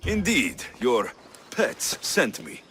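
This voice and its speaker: An actor playing a blunt, wry mercenary hero in a video game set in ancient Greece, dry and direct. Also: male voice, medium pitch, huge pitch swings, takes it slowly, fairly bright tone, a little hiss or hum, exaggerated voice. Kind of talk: video game character acting.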